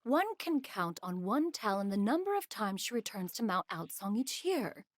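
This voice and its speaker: A woman's voice: medium pitch, very animated, normal speed, dry recording, studio quality. A woman voicing an ancient, proud bird spirit in human form who speaks in formal, archaic language, in game cutscene dialogue. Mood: stately. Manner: formal archaic speech, calls herself "one".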